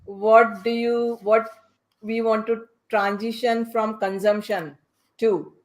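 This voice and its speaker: A woman hosting a podcast panel in a conversational way, speaking haltingly and repeating phrases. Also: fairly high pitch, fairly even intonation, poor audio. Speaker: podcast host moderating a panel.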